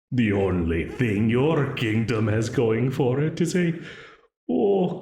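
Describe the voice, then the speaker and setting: Man playing a demon dealmaker, smug and menacing, slow drawling speech, lively rising tone, echoey room. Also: on the low side. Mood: mocking. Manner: mocking, condescending, laughs often. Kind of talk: ASMR audio roleplay.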